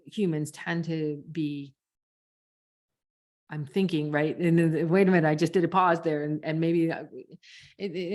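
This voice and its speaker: Female podcast coach, slightly low voice, conversational teaching delivery with demonstrated examples. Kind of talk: conversational teaching on speaking technique.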